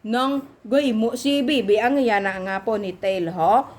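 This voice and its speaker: High-voiced woman telling children a story. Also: unhurried, a crowd in the background. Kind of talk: improvised, informal storytelling to children.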